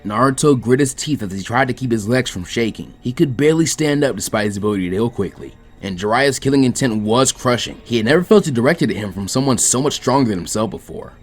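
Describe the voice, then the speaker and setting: A man's voice: mid-range voice, expressive, quick pace, few pauses. Guy narrating a fanfic, mid-range voice, bit of music in the background. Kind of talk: scripted fan fiction narration.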